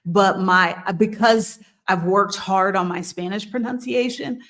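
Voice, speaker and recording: A woman hosting a channel about moving abroad, talking casually and thoughtfully, with frequent pauses, ums and restarts. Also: mid-range voice, fairly bright tone. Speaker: host of a move-abroad channel. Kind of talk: casual advice talk. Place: living in Mexico.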